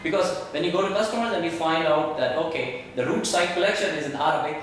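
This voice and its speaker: Male tech show host, keen, stumbles and repeats, mid pitch, distant mic in a roomy space. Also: fast talker. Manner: enthusiastic, repeats words, asks questions. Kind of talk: casual tech talk show. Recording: echoey room, mic far off, faint hiss.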